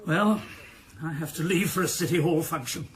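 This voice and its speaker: Actor as high-voiced, exasperated police chief. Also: male voice, normal speed, close to an everyday voice. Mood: anxious, urgent. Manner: earnest, indignant, formal. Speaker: actor in radio drama. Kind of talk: 1990s radio drama acting.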